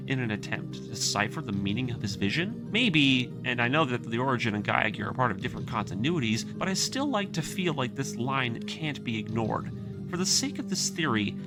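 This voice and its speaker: A man narrating a scripted analysis video as its host, in an earnest, emphatic way. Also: medium pitch. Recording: background music under the voice. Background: music.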